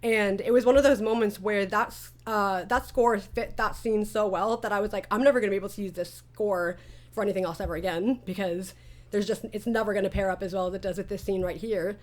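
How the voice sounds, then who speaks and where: A woman's voice: medium pitch, fairly even intonation, fairly quick, a little mellow. Chatty woman hosting a casual interview. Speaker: audio drama creator and interview host.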